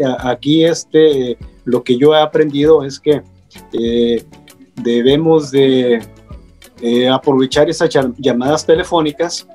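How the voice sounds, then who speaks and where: A man's voice: mid-range voice, darkish tone, slightly rough. A man who works as a radio broadcaster, speaking hesitantly with many fillers and repeated words during a casual panel chat.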